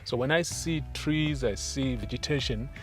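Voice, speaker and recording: Tanzanian guy getting interviewed, middling pitch, picks his words slowly, clear music playing behind him. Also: a bit bright. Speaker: entrepreneur being interviewed. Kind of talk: interview answers.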